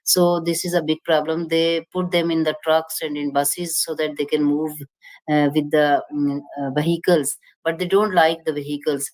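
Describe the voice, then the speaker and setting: A woman with a very low voice answers a question at a panel discussion. She talks in halting stretches, hunting for words and restarting phrases. Her tone stays explanatory throughout. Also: little pitch movement, a little mellow, rough recording. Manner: hesitant, many fillers and restarts, explanatory. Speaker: panel speaker.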